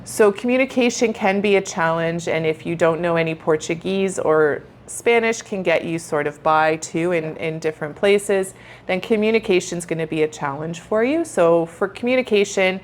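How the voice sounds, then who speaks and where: Upbeat, lower-pitched Canadian woman co-host. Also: normal speed, a little hiss or hum. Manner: upbeat, laughs easily. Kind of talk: casual conversational travel chat.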